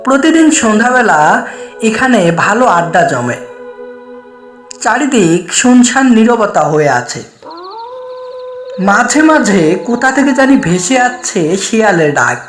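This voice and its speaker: A woman narrating a scripted horror story in the first person, in a measured, suspenseful way. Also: mid-range voice, very animated, faint music behind. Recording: echoey voice with faint background noise.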